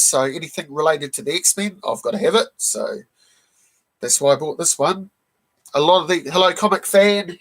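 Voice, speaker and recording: A man with a slightly high, croaky voice worn rough by a cold hosts a relaxed live stream. He chats loosely with his viewers, greets them by name and stops often to clear his throat. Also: low-quality recording. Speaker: live stream host showing comic books. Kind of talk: casual live stream chat.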